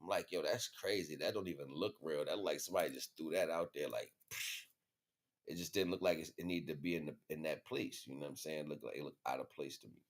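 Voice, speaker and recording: Deep-voiced American man rambling casually. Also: fast. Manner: slangy, repetitive with frequent check-in phrases. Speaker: livestream host. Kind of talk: casual rambling monologue to viewers.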